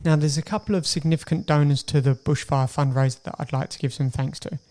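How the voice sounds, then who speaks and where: Mellow-voiced male podcast host. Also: fairly high pitch, fairly even intonation, quick pace. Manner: conversational, with fillers and restarts. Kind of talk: podcast intro and interview.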